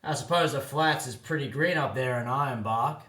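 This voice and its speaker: A man's voice: mid-range voice, normal speed, bright, forceful tone, reverberant, recorded from a distance, close to an everyday voice. A man voicing a sly, flashy barber who loves a practical joke, sneering and conspiratorial, in a comic ballad. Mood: mocking. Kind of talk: comic ballad character acting.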